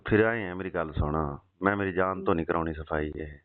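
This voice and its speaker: A man speaks in a deep voice at a brisk clip. He chats casually and intimately over a lo-fi phone line. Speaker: soldier on leave, phone caller. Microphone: phone call. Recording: muffled lo-fi phone call recording.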